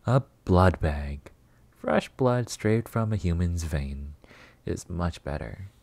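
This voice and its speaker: A man playing a flirty, possessive, delusional vampire master in a roleplay ASMR monologue, teasing and theatrical with drawn-out words. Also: low voice, vocal fry, a little mellow, no echo, heavily acted. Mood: commanding.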